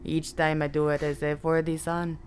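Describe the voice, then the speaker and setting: A woman's voice: deep voice, level tone, moderate pace, darkish tone. A woman playing a tabletop game, describing her character's actions in plain short statements. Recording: clear background noise and ambience.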